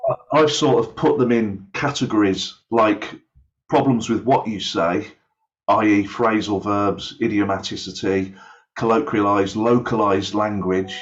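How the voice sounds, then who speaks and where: A man's voice: on the low side, lo-fi sound. A man explaining his points through examples in an online discussion about his field, with a hesitant, searching delivery. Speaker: aviation English course developer.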